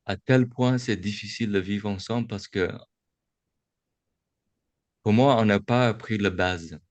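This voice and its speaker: Guy giving a slow buddhist talk on a video call, low voice, lots of restarts, dry close sound. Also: no echo. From United States. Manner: slow, halting, with many restarts and pauses. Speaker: Buddhist teacher speaking to young adults. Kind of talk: reflective dharma talk on a webinar. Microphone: video call microphone.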